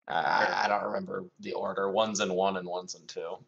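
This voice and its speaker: A man's voice: deep voice, flat delivery, a little fast, gravelly, fairly bright tone. A man chatting casually as a player in a tabletop roleplaying game, on a lo-fi voice channel.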